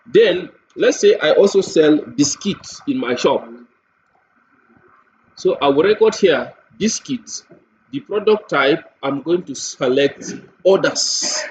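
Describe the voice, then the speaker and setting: A man with a Nigerian accent giving a software tutorial walkthrough, explaining step by step and repeating points with everyday examples. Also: on the high side. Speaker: software demonstrator. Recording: dull lo-fi mic with faint noise.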